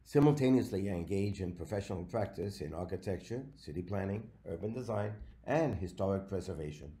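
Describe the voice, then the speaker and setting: A man, giving a recorded slide lecture in a measured way with frequent fillers. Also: sits low, animated, dark tone. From Venezuela.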